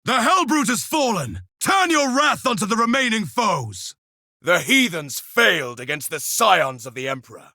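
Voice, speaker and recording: A man's voice: high voice, sing-song, moderate pace, pushed and bright, dry recording, clean studio sound, heavily acted. An actor playing a grim, pious armored male super-soldier, declaiming solemn insults over slain foes in a video game. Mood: grim contempt. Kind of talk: video game combat voice lines.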